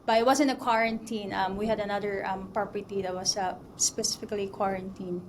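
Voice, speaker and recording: A woman's voice: mid-range voice, steady tone, fairly quick, slight background noise. A woman in her thirties from the Philippines, talking as a guest in a casual interview, with plenty of fillers and restarts. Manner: chatty, many fillers and restarts. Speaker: mortgage broker guest.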